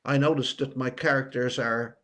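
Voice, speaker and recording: An older man from Newfoundland answering interview questions reflectively, pausing often to search for words. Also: medium pitch, fairly even intonation, a bit bright. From rural Newfoundland, Canada. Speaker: author and retired teacher as guest.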